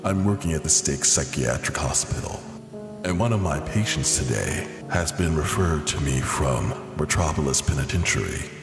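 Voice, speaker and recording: Guy as a somber haunted doctor reading his journal in a comic drama, super low voice, big echo, music behind. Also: expressive, vocal fry, exaggerated voice. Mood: somber, brooding. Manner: measured, brooding, pausing between phrases. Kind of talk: dramatic first-person scripted narration. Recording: reverberant echo under music.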